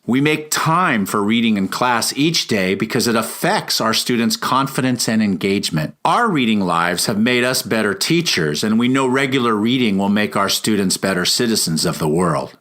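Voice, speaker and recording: A man who teaches and writes, reading his book aloud as scripted narration in measured, emphatic phrases. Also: fairly low pitch, a bit bright. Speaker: teacher and author.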